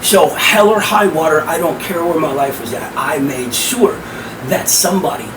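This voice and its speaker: Man, middle-aged, hoarse and powerful voice, pitched a bit high, emphatic and profane, roomy distant recording. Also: expressive, normal speed. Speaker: guest, rap host and promoter. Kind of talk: casual podcast storytelling. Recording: roomy, distant mic with air-conditioning hum.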